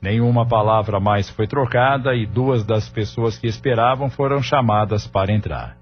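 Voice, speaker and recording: Low-pitched male narrator over music. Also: moderate pace, lo-fi sound. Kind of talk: scripted audio novela narration.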